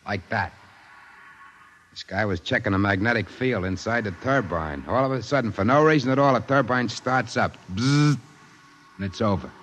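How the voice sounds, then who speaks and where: A man's voice: fairly low pitch, moderate pace, fairly bright tone, lo-fi sound, natural voice. A man acting a blunt, streetwise security guard, plainspoken and clipped, in a 1950s radio drama. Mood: blunt, grim. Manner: plainspoken, matter-of-fact, clipped. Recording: vintage radio recording with faint noise.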